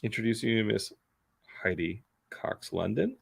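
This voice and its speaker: A man's voice: lowish voice, animated, normal speed, vocal fry, husky, dry recording. A middle-aged man hosting a casual chat show, rambling and jokey as he reads viewer comments aloud. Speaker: podcast host.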